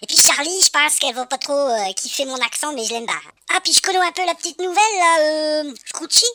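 A woman plays a cheeky young guy phoning in to gush about his favourite show, rambling in slang and stumbling over hesitations. The voice sits high and bright, recorded close and dry. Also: brisk, no room sound. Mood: chatty. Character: young male radio fan, slangy and cheeky. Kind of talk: comic phone-in sketch.

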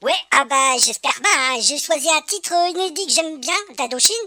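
A woman plays a cheeky young guy phoning in to gush about his favourite show, rambling in slang and stumbling over hesitations. The voice sits high and bright, recorded close and dry. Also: expressive, normal speed, no room sound. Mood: chatty. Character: young male radio fan, slangy and cheeky. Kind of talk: comic phone-in sketch.

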